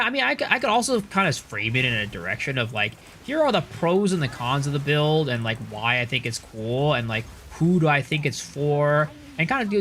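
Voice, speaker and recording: Lively man chatting on stream. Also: mid-range voice, a little hiss or hum. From United States. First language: Cantonese. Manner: rambling, reads chat aloud, swears casually. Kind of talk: casual live-stream chat while gaming.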